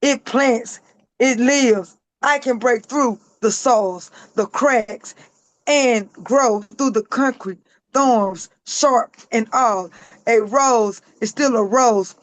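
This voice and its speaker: Raspy woman reading her poetry. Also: fairly high pitch, slow, dry recording, low-quality recording. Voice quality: raspy, hoarse. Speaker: author and guest.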